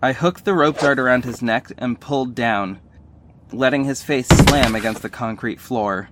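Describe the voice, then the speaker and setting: Audio drama lead for a male actor: earnest young hero, emotional first-person narration, medium-pitched voice. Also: normal speed, noisy background, natural voice. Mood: enraged.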